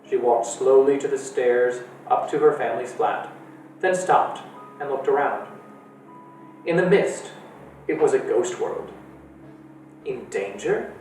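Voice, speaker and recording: A man reading a novel aloud to children at a library storytime, in a steady storytelling way. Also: unhurried, long pauses, far from the mic, slight background noise. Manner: steady storytelling with lively pitch. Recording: echoey room from afar with faint music.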